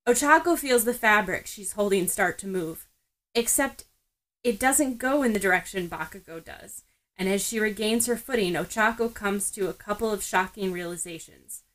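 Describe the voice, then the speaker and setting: A woman's voice: mid-range voice, normal speed, fairly bright tone, rough recording. A woman narrating fan fiction expressively, as in an audiobook. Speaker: podfic reader.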